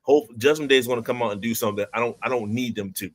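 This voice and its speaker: A man on a casual panel chat, relaxed and offhand as he gives his picks. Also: medium pitch, rapid-fire.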